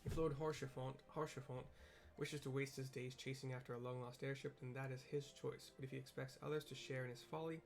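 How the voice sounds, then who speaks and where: A man's voice: medium pitch, little pitch movement, a little fast, hardly any pauses, close to an everyday voice. A man voicing a stern, pompous robed official from a fantasy game, formal and dismissive. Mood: haughty. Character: stern, haughty astrologer official in yellow robe. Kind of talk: read-aloud fantasy game dialogue.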